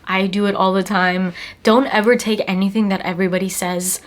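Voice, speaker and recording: A woman's voice: mid-range voice, little pitch movement, brisk. Congested young woman chatting about perfume. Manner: chatty, emphatic, repeats words for stress. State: congested from a cold. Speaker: perfume reviewer, channel host. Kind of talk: casual talk to camera. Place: small home room, indoors.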